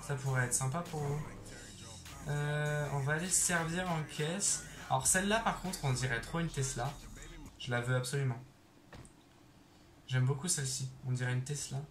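Excitable young man gaming in a roomy bedroom. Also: fairly high pitch, normal speed, long pauses. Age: young adult. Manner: chatty, excitable, bursts into English exclamations. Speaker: gaming YouTuber. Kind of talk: casual gameplay commentary. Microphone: headset. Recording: roomy sound with game music behind.

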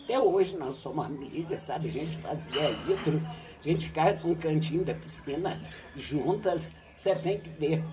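Senhora idosa contando histórias com muita animação. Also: female voice, low-pitched, a little slow. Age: older. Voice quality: lively, animated storytelling with laughs. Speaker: retired teacher. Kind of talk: reminiscing interview. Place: covered outdoor patio at a home.

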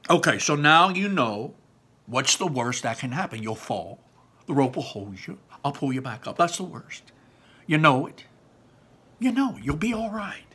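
A man's voice: on the high side, sing-song, moderate pace, slightly rough, voice pushed up, full character voice. A man reading a story aloud, voicing a boisterous older brother who cheers his sibling on. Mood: reassuring. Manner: loud, upbeat, pep-talking.

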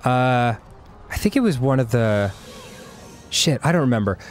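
A man's voice: mid-range voice, lively intonation, moderate pace, soft background music. A man in his thirties, first language Hebrew, chatting casually as a co-host over gameplay, rambling with many fillers. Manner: rambling, frequent fillers and laughs.

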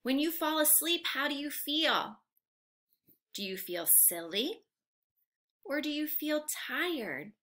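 Bright, high-pitched, slow woman teacher. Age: young adult. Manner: animated, exaggerated, enunciated for little learners. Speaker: teacher reading aloud to young children. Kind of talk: child-directed read-aloud with simple questions. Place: living room at home, indoors.

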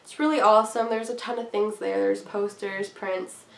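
A young woman in her twenties with a voice hoarse from a cold, chatting casually and rambling to camera with many ums. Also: mid-range voice, fairly even intonation, normal speed. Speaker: craft vlogger. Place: small room indoors at home. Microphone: camera at a distance. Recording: roomy, from a distance, faint hiss.

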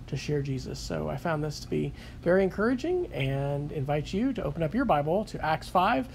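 A man speaks in a gravelly, slightly raised voice. He reads scripture, then recaps a sermon in a reflective, unhurried way with frequent fillers. A faint hiss sits under the recording. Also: fairly high pitch, big pitch swings. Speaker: sketchnote narrator. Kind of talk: reading scripture and summarizing a sermon.

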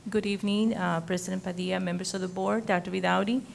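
A woman's voice: medium pitch, steady tone, fast talker, creaky, a little hiss or hum. A woman administrator formally presenting a campus recognition to a school board from a podium. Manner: reads prepared remarks, formal. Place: school board meeting room.

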